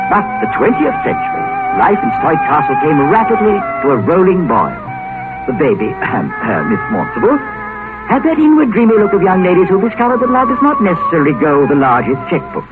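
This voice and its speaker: Wry scholar, wildly lilting voice. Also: male voice, sits high. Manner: wry, bookish, elegant. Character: urbane, witty male scholar narrating his memoir. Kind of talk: radio drama first-person narration. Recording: tinny 1950s radio, music behind.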